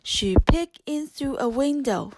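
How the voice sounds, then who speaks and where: A woman narrating a scripted children's story with gentle, careful phrasing. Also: sits high, animated, slow pace, dry recording. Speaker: audiobook narrator.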